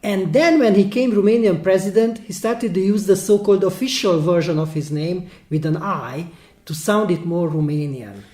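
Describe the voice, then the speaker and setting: A man, an English speaker, talking earnestly in a panel discussion, often pausing and filling gaps with "uh". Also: high voice, dark tone. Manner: hesitant, many pauses and fillers, earnest. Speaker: human rights foundation analyst. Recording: roomy echoing room.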